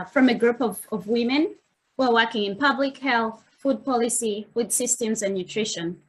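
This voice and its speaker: A woman's voice: fairly high pitch, fairly bright tone, poor audio. A woman hosting and opening a formal online launch event with a welcome speech. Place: online video meeting.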